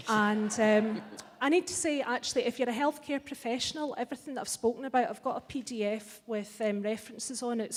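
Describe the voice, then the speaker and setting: A woman's voice: mid-range voice, darkish tone. A middle-aged Scottish woman giving a blunt, wry and impassioned speech to a live audience. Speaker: festival speaker. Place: large hall. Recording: long hall reverb.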